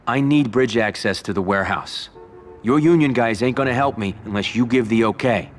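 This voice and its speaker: A man playing a tough, defiant mafia don in a crime game, blunt and forceful. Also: medium pitch, moderate pace, faint music behind, natural voice. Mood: firm, resolute. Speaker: video game character actor. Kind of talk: cinematic crime drama acting.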